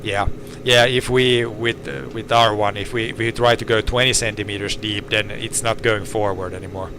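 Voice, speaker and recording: A laid-back man, slightly low-pitched, talking from a tractor cab. He keeps restarting his phrases in a halting way. A steady hum of engine and air conditioning runs clearly behind him. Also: poor audio. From Finland. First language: Swedish. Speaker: organic farmer. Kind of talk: casual chat while tractoring.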